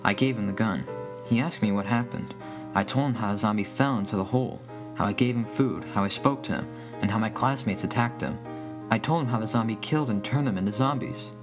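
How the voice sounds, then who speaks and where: A man's voice: lowish voice, fairly quick, over music. A man reading a scripted first-person horror story as its narrator.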